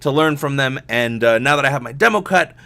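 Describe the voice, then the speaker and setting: A man with a mid-pitched voice talks casually into a close, dry recording, hosting a podcast on his own. His delivery is animated and rambling, full of casual swearing. He often breaks off and restarts his own thoughts as he goes. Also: fairly quick, gravelly, no room sound. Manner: animated, rambling, profane, self-interrupting. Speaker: podcast host, voice actor. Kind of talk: casual solo podcast chat.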